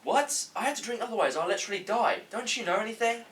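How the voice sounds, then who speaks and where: A man's voice: high voice, fast, fairly bright tone. An actor playing a petulant, self-important young man in a comedy table read, indignant and whining. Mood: exasperated. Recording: distant mic, faint room noise.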